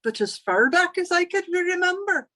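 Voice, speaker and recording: A woman plays a vain Irish landlady in an old radio sitcom. Her voice is high and swoops about freely. She is huffy, folksy and easily affronted. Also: big pitch swings, moderate pace, pushed and bright, heavily acted. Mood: smug, chatty. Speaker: amateur theatre performer. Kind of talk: 1940s radio sitcom acting.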